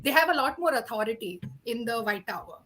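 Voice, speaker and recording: A woman with a high voice and a bright, keen edge talks casually in a book club panel. She presses her points emphatically and tends to repeat them as she argues. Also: expressive, brisk, slight background noise.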